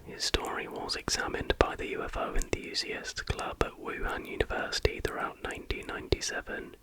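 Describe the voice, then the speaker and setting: An ASMR host speaks entirely in a soft, unvoiced whisper. The delivery is breathy and unhurried. It sounds like informal reading aloud, made for close listening.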